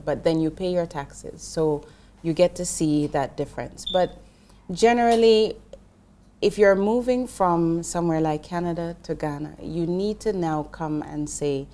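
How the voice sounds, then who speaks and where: A woman's voice: lowish voice, moderate pace, dark tone. A middle-aged woman from Jamaica, a guest in an interview, explaining finance earnestly with frequent restarts. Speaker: investment professional guest. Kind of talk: interview conversation.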